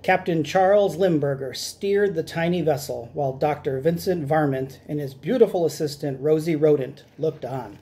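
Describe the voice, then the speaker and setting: A man's voice: a little high, normal speed, few pauses, warm tone, slight background noise. A middle-aged man reading a children's picture book aloud in a dramatic storytelling style. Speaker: storytime reader. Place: small room at home, indoors.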